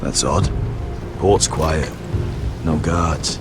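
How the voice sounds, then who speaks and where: A man's voice: rumbling low, very slow, heavily acted. A man playing a brash young sellsword in a fantasy game, with a wry, cocky way of speaking. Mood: wry, wary. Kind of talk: dramatic video game acting. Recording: music under the voice.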